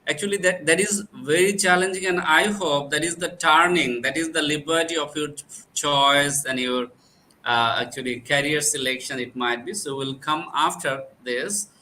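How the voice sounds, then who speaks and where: A man hosting an online interview, speaking haltingly with many fillers and restarts. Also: on the high side, pushed and bright, a little hiss or hum, poor audio. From Bangladesh. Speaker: host.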